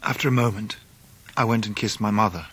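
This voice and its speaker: A man playing a grown English narrator recounting his boyhood in a radio drama, in measured literary phrases. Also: lowish voice, a bit bright, slightly rough. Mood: wistful.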